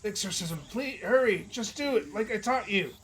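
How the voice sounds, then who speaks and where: A man's voice: high-pitched, lively intonation, moderate pace, fairly bright tone, voice pushed up, low-quality recording. A man playing a melodramatic young self-styled mad scientist who gives theatrical, commanding orders.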